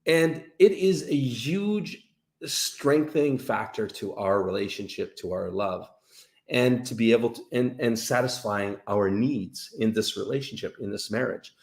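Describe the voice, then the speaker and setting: A man hosting a casual dating-advice livestream, explaining things in a halting, repetitive, emphatic way to viewers. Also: mid-range voice, vocal fry. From Canada. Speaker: host of a live dating-advice show. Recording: roomy, echoey sound.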